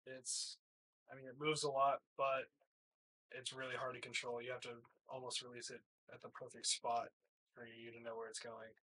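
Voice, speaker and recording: A young man of nineteen speaks as a podcast guest. His voice is bright and crisp-edged at a middle pitch, and he answers casually, like an athlete chatting indoors. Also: level tone, moderate pace, low-quality recording. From hill country, United States. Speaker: college baseball player, interview guest. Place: small plain room, indoors, seated.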